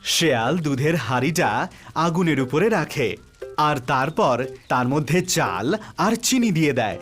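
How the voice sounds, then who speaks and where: A man reads a children's tale slowly in a fairly high voice. His storytelling is animated in pitch, with faint music underneath. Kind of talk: scripted children's story narration.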